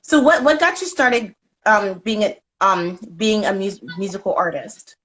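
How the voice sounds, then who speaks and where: A woman's voice: medium pitch, lively intonation, unhurried, a bit bright, low-quality recording. A woman hosting an interview who asks her questions with a hesitant start, often repeating her first words. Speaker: interviewer, host.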